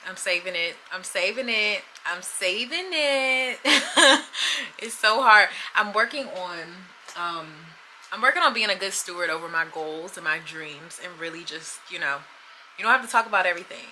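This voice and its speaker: A woman's voice: mid-range voice, animated, normal speed, bright, forceful tone, slight background noise, low-quality recording. A woman in her thirties chatting candidly to the camera in a casual vlog, often laughing. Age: 30s. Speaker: vlogger.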